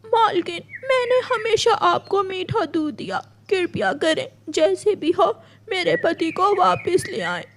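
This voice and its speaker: A woman's voice: very high-pitched, slow pace, a little hiss or hum, pitched above the performer's own voice, heavily acted. A woman voicing a devoted, tearful cow wife in a cartoon, pleading in a plaintive way.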